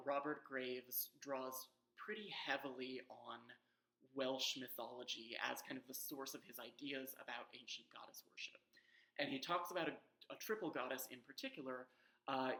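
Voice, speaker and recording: Bright-voiced man lecturing in an echoey room. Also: medium pitch. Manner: wry, frank asides amid frequent "um" and "uh". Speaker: educator on witchcraft history. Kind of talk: casual explanatory lecture.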